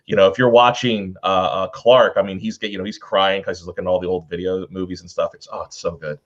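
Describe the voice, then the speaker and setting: Male panelist, fairly low register, informal and enthusiastic, slightly lo-fi sound. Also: level tone, fast talker. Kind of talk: casual chat about films.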